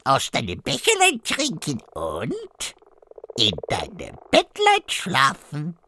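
A man voicing a male frog in a fairy tale, in a high, drawn-out, croaking way. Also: wildly swooping pitch, sharp tone, slight background noise, full character voice. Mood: pleading. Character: pleading slow-voiced male frog.

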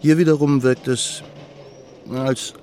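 An actor in a radio play playing a reflective, articulate journalist who speaks in a deliberate, wordy way. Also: male voice, medium pitch, fairly even intonation, darkish tone, slight background noise, lightly acted. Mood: reflective, measured. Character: reflective male West German journalist, measured and articulate.

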